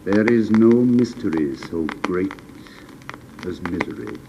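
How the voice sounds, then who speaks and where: A man voicing a sorrowful, gentle but commanding prince, speaking slowly and softly in a storybook reading. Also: low voice, big pitch swings, vocal fry, full character voice. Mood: earnest. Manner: slow, hushed, solemn pleading. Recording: noisy recording with clicks.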